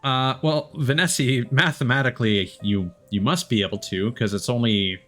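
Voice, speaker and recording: A man's voice: mid-range voice, fairly even intonation, normal speed, pushed and bright, soft background music. A man livestreaming a video game, thinking aloud in a casual, rambling way and swearing now and then. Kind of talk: casual gaming stream chat.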